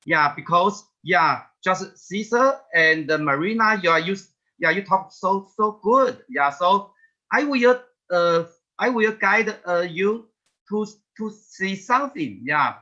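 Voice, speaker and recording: Bright-voiced man, halting and slow. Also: high-pitched, animated. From Taiwan. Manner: halting, many yeah fillers and restarts. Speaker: guest presenter. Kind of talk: webinar presentation. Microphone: video call. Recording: muffled lo-fi video call.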